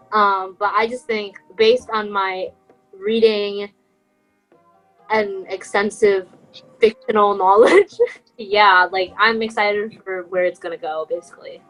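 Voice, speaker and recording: A young woman with a medium-pitched voice chats as a podcast co-host. She is earnest and informal, and soft music plays behind her. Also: steady tone, takes it slowly, rough recording. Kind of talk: casual podcast chat.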